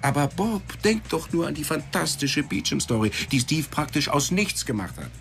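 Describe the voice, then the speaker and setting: An actor playing a foul-mouthed, sarcastic newspaper editor in a radio drama, blustering and sardonic. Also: male voice, a little high, big pitch swings, moderate pace, vocal fry, fairly bright tone, soft background music, exaggerated voice. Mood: wry, warning.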